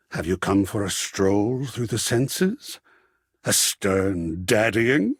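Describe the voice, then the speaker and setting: A man's voice: mid-range voice, breathy edge, no echo, clean studio sound, exaggerated voice. A male actor voicing a flamboyant, melodramatic, lovestruck living work of art in a video game, with florid, grandiose, theatrical delivery. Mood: swooning, amorous. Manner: florid, grandiose, swooning, dramatic swings between extremes.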